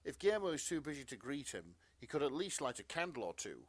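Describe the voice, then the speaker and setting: A man's voice: fairly high pitch, big pitch swings, fast talker, no echo. A man narrating fan fiction as a podcast co-host, in a melodramatic storytelling style.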